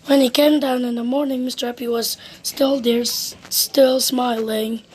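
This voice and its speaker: A woman reading a children's storybook aloud as narrator, in an expressive, deliberate way. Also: on the high side, slight background noise, rough recording.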